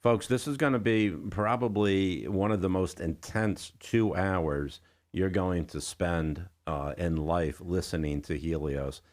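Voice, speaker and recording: A man with a deep, low voice hosts a radio interview in a studio. He speaks emphatically and with enthusiasm, turning often to address his listeners as folks. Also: slow, darkish tone. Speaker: radio host.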